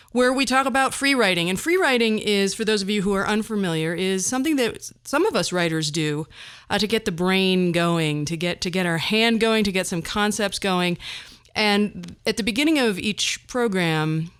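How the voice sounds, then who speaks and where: A woman's voice: mid-range voice, a bit bright, clear, resonant tone. A woman hosting a podcast solo, talking casually in a wry, self-deprecating way. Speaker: podcast host.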